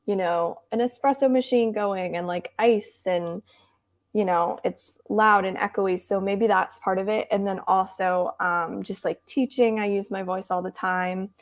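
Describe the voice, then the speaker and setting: A young woman with a hoarse, worn voice talks casually to her viewers. She keeps her volume down and giggles between thoughts. Her chat is friendly and rambling as she answers comments. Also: medium pitch. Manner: chatty, giggly, reads viewer comments aloud; tries to speak softer than usual. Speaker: booktube livestream host.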